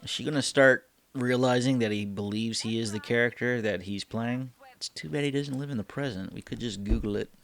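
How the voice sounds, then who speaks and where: A man's voice: mid-range voice, brisk, gravelly, few pauses, a bit bright, soft background music. A man in his thirties hosting a reaction video, commenting casually as he watches a show. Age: 30s.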